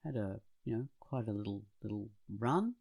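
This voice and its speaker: A man's voice: lowish voice, lively intonation, normal speed, vocal fry, darkish tone, slightly rough. A man co-hosting a podcast in casual, conversational discussion. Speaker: podcast co-host.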